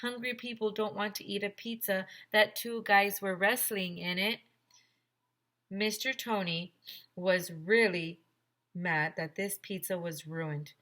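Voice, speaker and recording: A woman teacher reading a story aloud to children with expressive, emphatic narration. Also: mid-range voice, measured pace.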